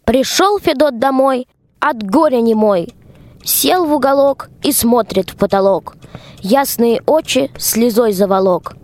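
A young woman narrating a rhymed folk tale as storyteller in a radio play. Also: fairly high pitch.

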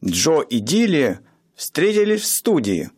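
A man narrating a radio play in a slow, wry storytelling manner. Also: big pitch swings, darkish tone, slight background noise. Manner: slow, wry storyteller with wide swings in pitch.

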